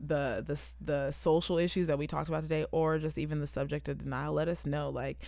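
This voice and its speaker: A woman in her twenties with a Southern California accent tinged with British English, co-hosting a podcast. She rambles through animated stories on a close mic. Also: low voice, a little fast, vocal fry, dry recording. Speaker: podcast co-host. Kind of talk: casual chat.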